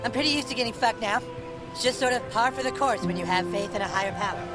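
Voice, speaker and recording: A man's voice: high voice, fast talker, close to an everyday voice. A man voicing a whiny, scheming ten-year-old boy in a video game cutscene, over music. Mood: sulky. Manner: whiny, paranoid, sarcastic.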